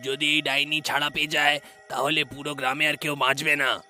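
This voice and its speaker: A man's voice: on the high side, flat delivery, a little fast, bright, forceful tone, faint music behind. A man voicing an alarmed, insistent villager in a cartoon horror story. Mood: stern, urgent. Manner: urgent, insistent. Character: alarmed village man urging drastic action.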